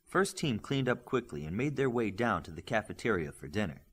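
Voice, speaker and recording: A man's voice: on the low side, very animated, fairly quick, a bit bright, husky. A man reading scripted fiction as an audiobook narrator.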